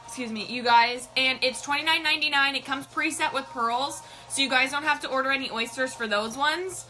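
Bubbly bright young woman live-selling. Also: high-pitched, brisk, soft background music, low-quality recording. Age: young adult. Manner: bubbly, greets viewers by name, reads comments aloud. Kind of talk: chatty live-stream selling. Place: small indoor room with a colorful backdrop.

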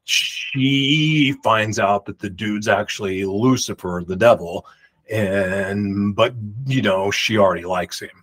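A man in his forties telling a rambling personal story to camera in a room at home, talking emphatically and projecting loudly. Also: on the low side, slow, low-quality recording. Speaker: vlogger. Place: home room with pictures on the walls. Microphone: large studio mic beside him.

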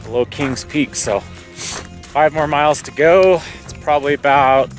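A man's voice: a little high, a little slow, faint music behind. A man in his thirties, a hiker, narrating a casual vlog outdoors. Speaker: hiker and vlogger. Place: outdoors in open mountains.

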